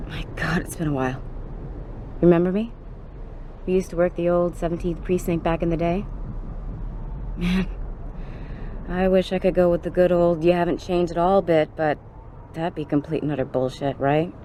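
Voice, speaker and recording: A woman's voice: lowish voice, steady tone, normal speed, long pauses, dark tone, slight background noise, close to an everyday voice. An actress playing a jaded, streetwise undercover policewoman with a blunt, conversational manner in a video game cutscene. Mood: familiar, wry. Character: jaded, foul-mouthed undercover policewoman, old colleague.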